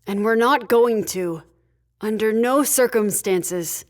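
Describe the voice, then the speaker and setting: Female starship leader played by an actress, fairly high voice, curt, firm authority, sci-fi audio drama. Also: slow pace. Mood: firm, impatient. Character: no-nonsense female spaceship leader, firm and impatient.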